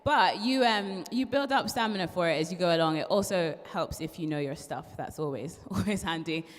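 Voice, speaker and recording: A woman's voice: lowish voice, brisk. A young woman with a mixed Irish accent, a part-qualified barrister, chatting as an interview guest with frequent ums and laughs. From born in London, raised in Ireland. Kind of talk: casual interview chat. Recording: long hall reverb.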